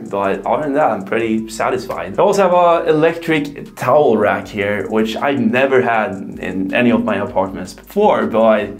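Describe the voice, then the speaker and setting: A young man in his twenties with a Swedish accent, casually showing viewers around his apartment and often checking in with "right?" and "you know". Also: fairly low pitch. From Sweden. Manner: relaxed, chatty, peppered with "right?" and "you know". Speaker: vlogger. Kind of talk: casual vlog apartment tour. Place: apartment indoors.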